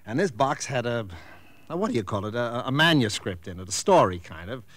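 Male, mid-pitched, dry sound: jittery, earnest property agent confiding in a pal, halting vintage radio delivery. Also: very animated, fairly bright tone, dry recording. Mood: uneasy, hesitant. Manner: hesitant, stammering, earnest. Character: nervous, troubled male real estate agent confiding in friend. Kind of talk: 1940s radio drama acting.

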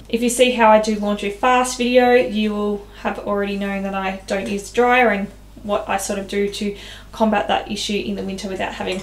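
A woman, giving a casual, candid tour of her small laundry room as a home decor vlogger, filmed on a handheld camera. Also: medium pitch. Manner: chatty, candid, self-deprecating. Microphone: handheld camera at close range. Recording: echoey small room, faint room hum.

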